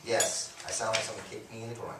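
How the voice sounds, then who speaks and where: A man co-hosting a local radio talk show, talking in a laid-back, teasing way and quick to laugh. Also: fairly low pitch, flat delivery. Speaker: radio co-host. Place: radio studio. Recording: echoey room, distant mic, faint noise.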